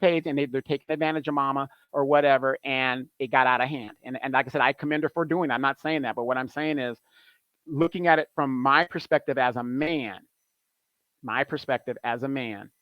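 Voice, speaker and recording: Animated man on a dull, dry line. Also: medium pitch, fairly quick, no room sound. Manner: animated, repetitive, emphatic. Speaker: guest. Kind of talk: casual opinion talk.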